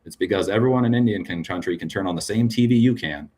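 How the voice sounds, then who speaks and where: A man with a very deep, husky voice talks frankly in a relaxed podcast interview. He keeps checking in with his listener, tagging points with a quick "right?". His tone is low and breathy. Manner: frank, tag questions with "right?". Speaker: cannabis business guest.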